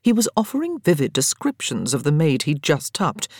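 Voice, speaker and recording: Expressive English woman narrating, animated pitch. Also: normal speed, no echo, clean studio sound. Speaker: audiobook narrator. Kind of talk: scripted literary narration.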